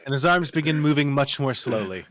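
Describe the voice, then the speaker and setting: A man's voice: mid-range voice, expressive, a little fast, fairly bright tone. A man reading stage directions as dramatic scripted narration at a live table read.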